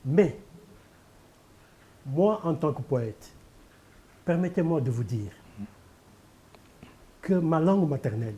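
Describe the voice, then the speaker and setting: Older Senegalese poet, Pulaar first language, slightly high yet deeply dark tone, rhetorical and emphatic. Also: male voice, lively intonation, takes it slowly, long pauses, muffled recording. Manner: emphatic, repeats key phrases, rhetorical. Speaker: poet and candidate guest. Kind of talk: televised interview.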